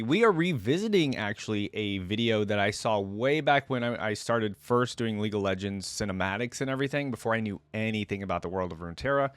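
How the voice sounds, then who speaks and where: A man's voice: on the low side, no echo, clean studio sound. A man hosting a reaction video, commenting casually and musing aloud in informal, slangy speech. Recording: faint music behind the voice.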